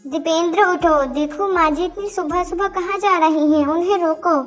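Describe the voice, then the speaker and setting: A woman's voice: very high-pitched, steady tone, moderate pace, faint music behind. A woman voicing a sweet, sensible young daughter-in-law in a cartoon moral story, speaking earnestly. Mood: worried.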